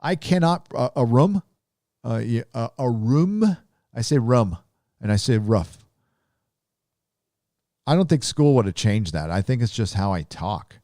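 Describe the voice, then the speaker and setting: A man's voice: mid-range voice, expressive, measured pace, long pauses, dark tone, no room sound, studio quality. A man from Southern California with a Southern Californian drawl, hosting a live stream in rambling casual chat full of fillers. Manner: rambling, laid-back drawl with many fillers.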